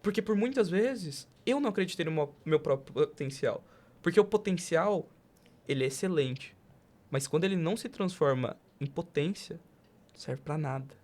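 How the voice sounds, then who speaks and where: A man's voice: fairly high pitch, lively intonation, moderate pace, darkish tone. A Brazilian man hosting a casual motivational podcast, speaking emphatically with rhetorical questions and acted-out quotes. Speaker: podcast host.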